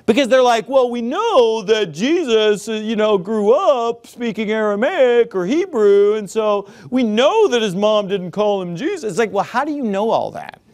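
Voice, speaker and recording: A man preaching with a high, lively voice that leaps around as he drives each point home. He is sarcastic and emphatic, and he bursts out loud on single words. He sounds like a middle-aged pastor delivering a sermon in a church. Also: moderate pace, few pauses.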